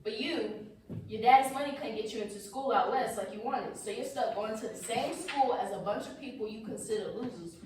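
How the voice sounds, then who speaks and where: A woman's voice: a little high, a little fast, darkish tone, lightly acted. Defensive young man, played by a woman, very echoey. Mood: indignant. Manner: indignant, cutting. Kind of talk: staged play reading. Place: echoey indoor room, far mic. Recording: distant, echoey room with hiss.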